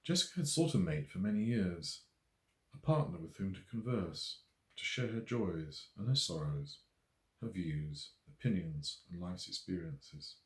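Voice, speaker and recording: A man reading a novel as its author in a measured, literary way with expressive emphasis. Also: deep voice. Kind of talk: scripted audiobook narration. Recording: roomy, echoey voice recording.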